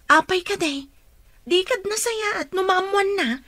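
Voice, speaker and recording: An actress playing a caring, encouraging older-sister coworker in a radio drama, with a Filipino accent. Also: female voice, very high-pitched, unhurried, sharp tone, dry recording, heavily acted.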